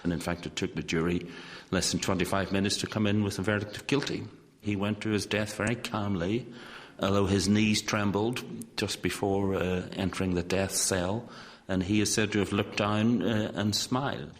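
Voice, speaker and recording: A man with a very deep voice explains history as an interviewed expert. His talk is unscripted and explanatory. The room around him rings with long reverb. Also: steady tone, normal speed, a little hiss or hum.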